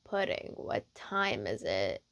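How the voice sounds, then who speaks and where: A sleepy, teasing young man acted out by a woman in a romantic roleplay. The voice is hushed and breathy, slow and creaky, very dry and intimate. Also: extremely low pitch, big pitch swings, no room sound, pitched below the performer's own voice, exaggerated voice. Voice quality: hushed, breathy, often half-whispered.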